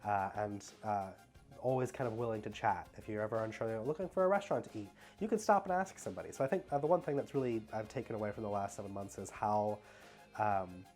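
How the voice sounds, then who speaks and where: A man's voice: lowish voice, a little mellow. Stuffy-nosed young man vlogging. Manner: rambling, filler-heavy, halting. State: stuffy nose. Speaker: travel vlogger. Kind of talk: casual vlog Q&A. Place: home.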